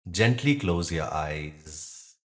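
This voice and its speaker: A man leading a guided yoga nidra meditation, speaking calmly and gently in short, unhurried phrases with pauses. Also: deep voice. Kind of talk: guided meditation, slow instruction with long pauses.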